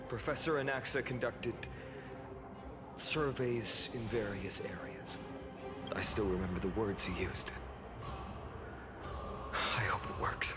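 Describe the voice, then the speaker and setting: An actor playing a noble, resolute young warrior in a fantasy game, speaking in a solemn, earnest, formal way. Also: male voice, mid-range voice, close to an everyday voice. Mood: hopeful, quiet. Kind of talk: dramatic fantasy video game voice acting.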